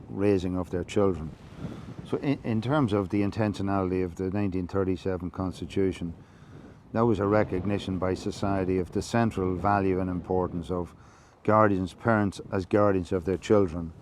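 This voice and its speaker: An Irish man giving a measured, reflective monologue, explaining things slowly, with many fillers and restarts. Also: deep voice, darkish tone, slight background noise. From Ireland. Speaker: podcast host.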